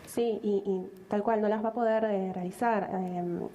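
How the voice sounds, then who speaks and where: A woman's voice: mid-range voice, fairly even intonation, unhurried, dark tone, a little hiss or hum. A woman speaking as a conference panelist, direct and matter-of-fact.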